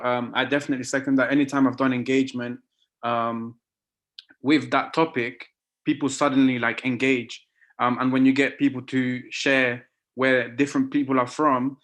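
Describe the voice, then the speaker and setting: A man's voice: medium pitch, fairly bright tone. A man whose first language is Spanish, speaking hesitantly with many fillers in an online council meeting over a video call. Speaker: meeting participant.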